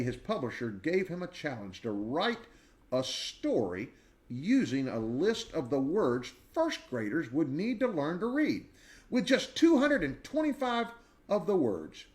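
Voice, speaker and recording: A man in his sixties reading a children's biography aloud in a measured, expressive storyteller's way, with dramatic pauses. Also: on the high side. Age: 60s. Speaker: read-aloud narrator.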